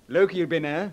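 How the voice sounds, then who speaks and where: A man's voice: a little high, dull audio. An actor playing a jovial, smugly menacing henchman in a radio drama, falsely friendly and prone to chuckling.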